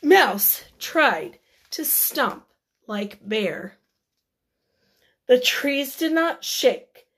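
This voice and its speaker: Very slow, high-voiced woman storyteller. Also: lively intonation, fairly bright tone. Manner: deliberate, dramatic emphasis with repeated words. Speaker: children's read-aloud storyteller. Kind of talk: expressive picture book reading with questions to young listeners.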